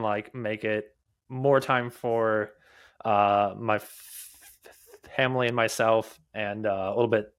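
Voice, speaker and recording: A man in his thirties who stutters, talking in a casual interview as a podcast guest, halting often with fillers and restarts. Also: fairly low pitch, a bit bright. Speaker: podcast guest, app developer.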